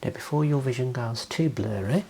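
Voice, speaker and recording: A man in his thirties whispering gently and soothingly in an ASMR roleplay as an optician, close to the mic in a small room. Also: medium pitch, moderate pace, vocal fry, slight background noise. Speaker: ASMR performer playing an optician.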